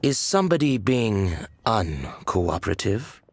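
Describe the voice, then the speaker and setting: A man voicing a cold, menacing agent of a sinister organization in a video game, formal and deliberate. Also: lowish voice, sing-song, a little hiss or hum. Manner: deliberate, formal, veiled threat. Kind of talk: video game villain acting.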